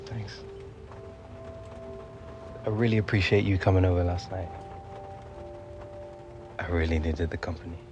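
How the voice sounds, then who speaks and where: A man's voice: deep voice, unhurried, long pauses, muffled recording, over music. A man playing an earnest, defensive young footballer in a video game cutscene. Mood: subdued. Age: young adult. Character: earnest young male footballer under pressure.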